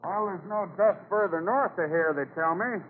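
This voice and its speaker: An actor playing a despairing, grimly resigned cattle farmer with rustic grammar, in old radio drama style. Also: male voice, high voice, normal speed, natural voice. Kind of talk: 1940s radio acting. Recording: noisy, narrow old radio recording.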